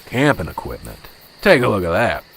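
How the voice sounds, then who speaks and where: A man's voice: fairly low pitch, wildly swooping pitch, moderate pace, fairly bright tone, exaggerated voice. A man playing a cynical, weary veteran police officer in an amateur radio drama, grumbling, sarcastic and dismissive. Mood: wry, wary. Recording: clearly noisy, vehicle-like background ambience.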